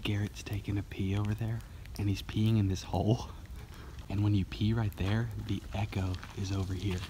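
A young man narrates his walk through an abandoned mall in a low, excited voice, peppered with dude. It is caught on a handheld camera with loud background noise. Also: normal speed. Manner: excited, swears casually, says dude a lot. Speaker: vlogger. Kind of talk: casual exploring vlog chat. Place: abandoned mall, large indoor space.

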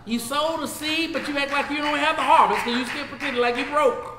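Animated Southern pastor in an echoey hall. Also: male voice, a little fast, noisy background. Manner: animated, swings from shouting to low talk. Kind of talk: Bible study teaching, preaching. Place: church sanctuary, seated before a projection screen.

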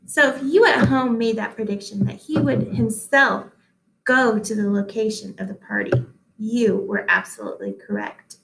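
A woman in her thirties reads a storybook to young listeners at home. Her voice sits somewhat high, with an expressive, careful storytelling delivery. The sound is clearly roomy, as in a small hard-walled office. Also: normal speed. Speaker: read-aloud host, teacher. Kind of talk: picture book read-aloud with asides to children at home. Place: small indoor office-like room. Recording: echoey small room.

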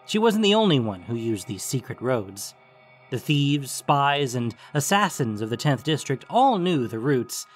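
A man's voice: mid-range voice, slightly rough. Male narrator of a fantasy story.

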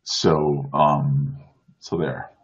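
A man's voice: a bass voice, flat delivery, very slow. A man in casual chat on a streamed show, earnest, often restarting his sentences over a video call. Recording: dull lo-fi stream audio.

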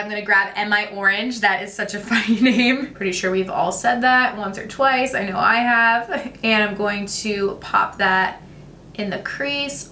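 A young woman, talking through a makeup tutorial in a chatty, gushing way, her voice a little raspy today. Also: medium pitch, pushed and bright, reverberant, distant mic, a little hiss or hum. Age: young adult. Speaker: beauty vlogger. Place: bright home room with makeup shelves. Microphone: camera at close framing.

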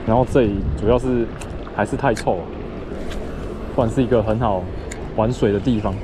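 A man's voice: on the low side, fairly quick. A young man with a Taiwanese Mandarin accent, casually narrating his own fishing trip to the camera and muttering to himself as he casts. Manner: chatty, muttering to himself, bursts of excitement at catches. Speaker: fishing vlogger. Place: outdoors by a shallow river. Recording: outdoor noise on the mic.

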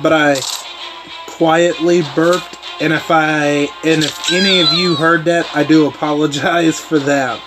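Slow-talking man, slightly hoarse. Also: a little high, steady tone, poor audio. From eastern United States. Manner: rambling, laid-back storytelling. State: losing his voice, clearing throat. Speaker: gaming channel host. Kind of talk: casual chat over gameplay. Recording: game music loud behind voice.

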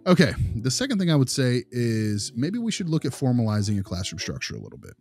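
A man playing a patient behavior consultant who gives feedback in a halting, rambling, reassuring, conversational way. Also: medium pitch, wildly swooping pitch, darkish tone, faint music behind. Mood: tactful. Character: male behavior consultant, patient, diplomatic, encouraging. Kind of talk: conversational role-play of a consultation.

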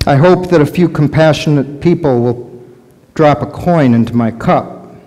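A middle-aged man playing a lonely blind beggar, speaking a halting, questioning inner monologue in a large church sanctuary. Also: on the high side, a little mellow, lightly acted. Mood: searching. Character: lonely blind adult male beggar by a road. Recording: long church reverb.

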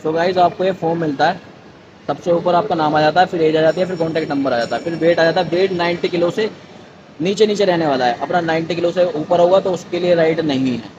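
A young man in his twenties hosting an excited, chatty vlog from an outdoor mall walkway at night. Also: fairly high pitch, quick pace. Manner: excited, chatty, keeps saying bhai. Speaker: vlogger. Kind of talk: casual vlog commentary. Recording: dull noisy outdoor audio.

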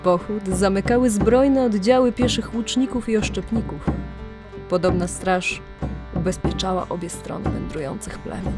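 A woman narrating a scripted audio drama legend in a measured, literary storytelling manner, with deliberate pauses. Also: medium pitch. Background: music.

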